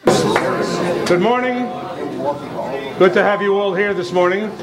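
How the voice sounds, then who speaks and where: A man's voice: high voice, slow, crowd noise. A man acting as event host, giving a formal introduction of a guest speaker. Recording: noisy live event room recording.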